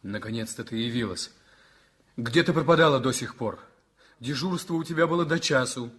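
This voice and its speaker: A dying young writer, husky and worn down, who swings between bitter reproach and soft tenderness. His voice sits at a mid pitch and comes from an old 1960s radio play recording. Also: moderate pace. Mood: reproachful. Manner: bitter, jealous, then tender.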